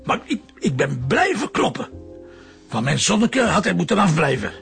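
Old grandfather killer, gravelly, swooping pitch, guilt-ridden, protective, radio play. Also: sing-song, moderate pace, fairly bright tone, faint music behind, full character voice. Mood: confessing, agitated. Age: older. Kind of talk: radio drama acting.

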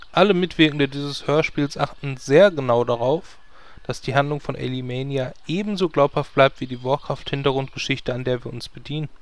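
An actor playing a sardonic hero of sixty, dry and long-winded, in a comedy fantasy audio play. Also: male voice, mid-range voice, natural voice. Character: sardonic sixty-year-old male hero.

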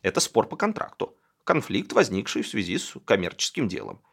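A man's voice: lowish voice, wildly swooping pitch, brisk, vocal fry, a bit bright, husky, full character voice. Man playing a taunting, defiant professor landlord. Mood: coolly taunting. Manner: formal legal phrasing, taunting. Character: defiant professor landlord barely hiding his glee.

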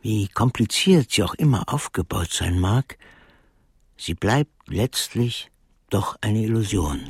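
A gravelly man muses like a philosopher in a radio play. He is brooding and ominous, very slow and deliberate, over clear music. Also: lowish voice. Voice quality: gravelly, creaky. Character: brooding, philosophical male storyteller musing on order and chaos. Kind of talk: literary radio drama monologue. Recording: music under the voice.

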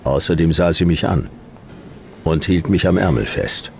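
Very deep, gravelly noir detective. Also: male voice, normal speed, slight background noise. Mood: deadpan, sardonic. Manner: laconic, deadpan, clipped sentences. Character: world-weary, wry male private detective in dystopian future. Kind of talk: noir radio drama, first-person narration and dialogue.

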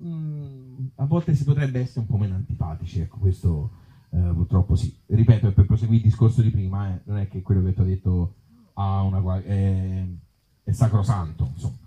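A man with a Tuscan accent talking informally to a live audience, halting as he searches for words, with wry irony. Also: on the low side, mellow. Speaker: author and actor, featured guest at a reading event. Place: outdoor evening festival in an olive grove. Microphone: small microphone. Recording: dull, muffled live sound.

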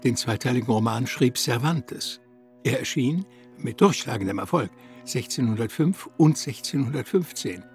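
A man's voice: medium pitch, expressive, normal speed, a little mellow, faint music behind. A man giving scripted, factual narration in a radio drama.

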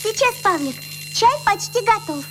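A woman's voice: very high voice, animated, normal speed, fairly bright tone, slight background noise. A woman voicing an eager, caring, optimistic schoolgirl in a radio play, chirpy and full of exclamation.

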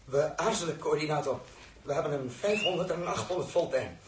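An actor playing an anxious, emotional spaceship captain in a 1960s Dutch radio play, speaking urgently. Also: male voice, on the high side, brisk, natural voice. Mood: urgent, pleading. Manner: urgent, excitable. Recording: echoey, hissy 1960s radio recording.

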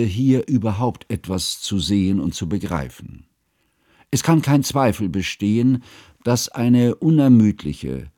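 An actor playing a stiff, pedantic, brooding scholar who narrates in a dry, formal, ponderous way in a radio play. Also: male voice, sits low, warm tone, dry recording.

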